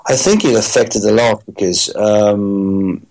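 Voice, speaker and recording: Halting middle-aged raised man. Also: on the low side, darkish tone, poor audio. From Italy. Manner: halting, many fillers and restarts. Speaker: musician guest. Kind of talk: interview answers.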